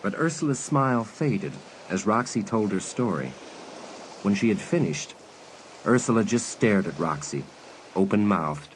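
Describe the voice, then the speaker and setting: A man's voice: lowish voice, expressive, unhurried, slight background noise, poor audio. A man narrating a dramatised audiobook as a scripted storyteller.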